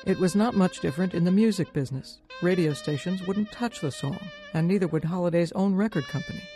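A woman's voice: fairly low pitch, fairly quick, warm tone. A woman presenting a scripted radio documentary as host and narrator. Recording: music playing underneath. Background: music.

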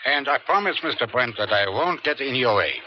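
An actor playing a condescending government operative in a 1970s radio drama, urbane, dry and patronising. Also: male voice, mid-range voice, expressive, fairly quick, natural voice. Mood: brisk, dismissive. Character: smooth, evasive, condescending male government operative. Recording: muffled 1970s radio recording, faint hiss.

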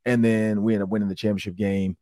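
A middle-aged man with an Upper Midwest American accent hosts a sports talk podcast, his voice hoarse and raspy from yelling, and he tells stories in a rambling, excitable way. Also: on the low side, fast, dry recording, clean studio sound. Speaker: sports talk show host. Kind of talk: casual podcast chat.

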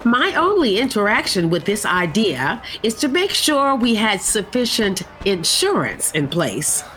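An actress playing a guarded, businesslike Broadway producer in an audio drama, polished and dryly defensive. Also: female voice, medium pitch, very animated, a little slow, a bit bright. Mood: poised, defensive.

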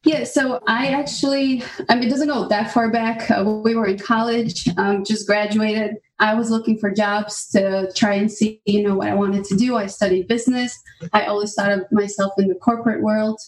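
A woman's voice: mid-range voice, little pitch movement, normal speed, a little hiss or hum. A woman from Albania, chatting casually as a podcast guest with fillers and easy laughter. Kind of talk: casual interview chat. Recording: roomy, distant mic.

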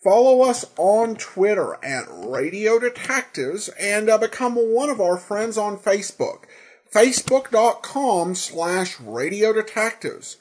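American male host for informal podcast intros, animated pitch, rough-edged husky tone, slightly hesitant phrasing. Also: unhurried.

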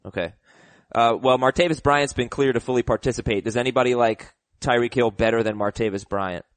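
A man hosting a casual sports talk show, animated and giving hot takes, his voice a little rough from getting sick. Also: mid-range voice, a little fast, dry recording. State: slightly sick voice. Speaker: podcast host.

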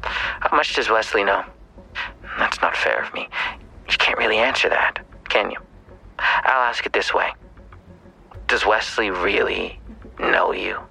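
An actor playing a menacing, taunting killer in an audio drama, with a raspy, creaky voice that slips into whispers. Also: male voice, lowish voice, a little slow, pauses often, sharp tone, full character voice, poor audio. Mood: insinuating. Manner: taunting, insinuating, probing. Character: menacing, taunting male killer who runs a dark-web market.

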